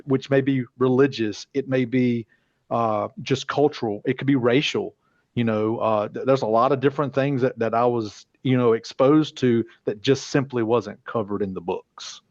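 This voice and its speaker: A man's voice: mid-range voice, normal speed, a little mellow, no room sound. A man co-hosting an EMS podcast, speaking thoughtfully and searching for words. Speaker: podcast co-host. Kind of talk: casual chat. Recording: dry, dull lo-fi audio.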